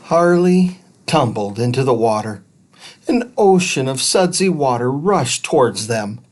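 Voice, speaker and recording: A man reading a children's book aloud in an animated narrating style. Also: medium pitch, a little slow. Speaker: story reader.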